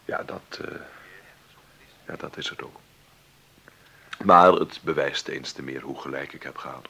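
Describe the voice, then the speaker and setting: A famous film-maker in his fifties in a radio play, wealthy and wry. His voice is low and full of gravel. He speaks with halting authority and a generous streak under the command. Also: bright, forceful tone, a little hiss or hum, heavily acted. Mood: musing. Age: 50s. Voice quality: gravelly, husky. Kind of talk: Dutch radio play acting.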